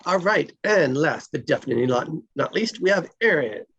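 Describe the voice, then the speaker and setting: A woman's voice: deep voice, expressive, brisk, dull audio, slight background noise. A woman hosting and casually introducing the cast of a table read.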